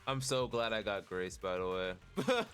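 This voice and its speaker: Bright-voiced man streamer chatting. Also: fairly low pitch, measured pace, clean tone. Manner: chatty, laughing reactions. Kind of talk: casual gaming commentary. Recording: game music behind the voice.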